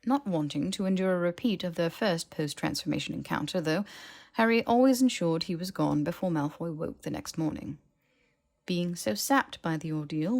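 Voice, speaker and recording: A woman narrating scripted fiction as an audiobook reader, with wry, expressive storytelling. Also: deep voice, creaky.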